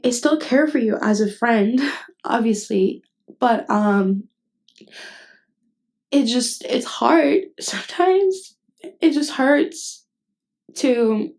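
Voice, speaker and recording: A woman acting a nervous, tender best friend with hidden love in an intimate ASMR roleplay, speaking hesitantly and haltingly. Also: on the high side, creaky, darkish tone. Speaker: voice actress.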